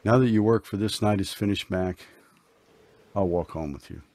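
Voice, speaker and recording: A man acts out a mysterious, fatherly holy comforter in a radio play. The voice is very deep and rough-husky. He speaks gently and solemnly, in measured, archaic phrases. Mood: gently probing. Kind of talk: radio drama acting.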